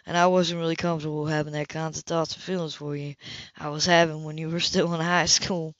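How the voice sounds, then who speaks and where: A woman reading an audiobook, voicing an earnest, quiet, serious man in love. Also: low-pitched, level tone, fairly quick, no echo, voice pushed down, close to an everyday voice. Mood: confessing. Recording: dull lo-fi home recording.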